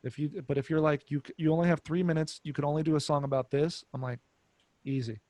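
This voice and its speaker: A man's voice: on the high side, quick pace, no echo. A man, a guest musician and comedian, chatting loosely in an interview and now and then breaking into sung lines. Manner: relaxed, laid-back, breaks into sung lines. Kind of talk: casual interview chat.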